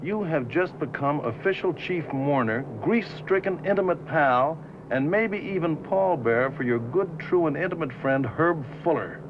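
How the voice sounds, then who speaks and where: An actor playing a scheming, bitter showbiz manager in a 1950s film drama, talking in blunt, bossy slang. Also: male voice, fairly high pitch, animated, normal speed, lightly acted. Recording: muffled, noisy 1950s film soundtrack.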